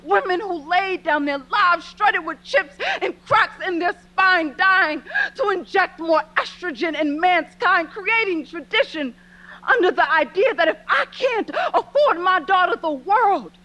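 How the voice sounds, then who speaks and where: A young woman delivering spoken word poetry in an impassioned, deliberate, dramatic way. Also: very high voice, animated, pushed and bright, rough recording.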